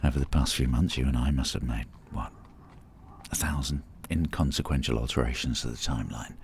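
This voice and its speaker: An actor playing an eager, theorising man in an audio drama, explaining emphatically in a gravelly, husky voice. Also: very deep voice, fairly quick, slight background noise. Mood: musing, persuasive. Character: David, eager theorising man lecturing on time travel.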